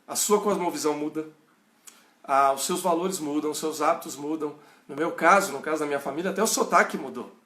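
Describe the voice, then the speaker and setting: A middle-aged pastor with a caipira accent from the São Paulo and Minas Gerais countryside, with a somewhat high voice for a man. He teaches in a relaxed, conversational way, often checking in with his listeners. The room around him is echoey. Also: fairly quick. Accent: rural São Paulo and Minas Gerais Brazilian. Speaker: pastor teaching a Bible study. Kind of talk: informal teaching answering viewers' questions.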